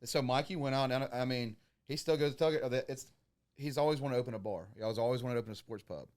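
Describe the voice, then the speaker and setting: A man co-hosting a casual chat, slangy and halting, jumping from one thought to the next. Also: medium pitch, a little mellow.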